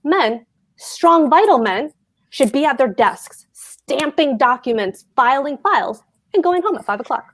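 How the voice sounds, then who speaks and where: A pompous government clerk played by a woman lays down the rules of duty in a higher-pitched voice. The delivery is emphatic and declamatory, as in a stage play read aloud. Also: expressive, measured pace, a bit bright. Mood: lecturing, scornful. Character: pompous, dutiful male government clerk and husband.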